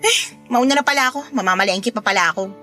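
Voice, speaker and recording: A woman's voice: a little high, quick pace, pushed and bright, soft background music. An actress playing a touchy, defensive woman neighbor in a radio drama, snippy and sarcastic. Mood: huffy.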